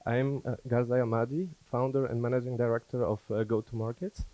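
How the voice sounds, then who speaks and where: A man from Afghanistan who was schooled in France, giving a business presentation as a company founder, with halting, hesitant phrasing. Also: medium pitch, level tone, dark tone, dry recording. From born in Afghanistan, schooled in France.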